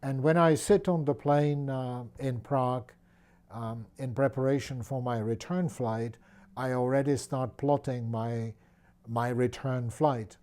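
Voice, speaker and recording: A man in his sixties from the Czech Republic with a Czech accent, speaking thoughtfully in an interview with many pauses and restarts. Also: medium pitch, fairly even intonation, warm tone. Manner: thoughtful, with frequent fillers and restarts. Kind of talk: reflective personal interview.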